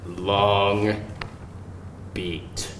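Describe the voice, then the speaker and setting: A man's voice: on the low side, level tone, drawn-out words, a bit bright. A man reading screenplay stage directions aloud as narrator at a live table read. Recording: roomy live event, distant mic, faint noise.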